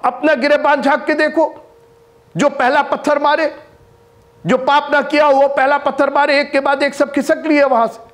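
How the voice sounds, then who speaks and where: A man in his fifties with a high voice for his sex, preaching to camera on a lapel mic in a studio. He swings between loud, emphatic lines and low, hushed ones. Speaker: bishop and preacher. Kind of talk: Hindi sermon to camera. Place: studio with green-screen backdrop.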